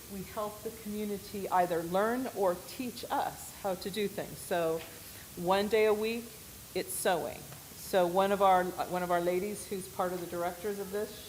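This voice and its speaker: Loud-voiced woman talking to a congregation. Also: mid-range voice, a little hiss or hum, low-quality recording. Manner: loud, projecting voice; chatty, with frequent restarts. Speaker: church volunteer sharing a story. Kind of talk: informal talk to a congregation. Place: church gathering room.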